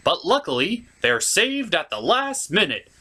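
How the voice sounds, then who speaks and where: Wry man narrating with lively pitch swings. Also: measured pace. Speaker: host of a comedic puppet-show movie review. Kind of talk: comedic scripted narration of a film recap.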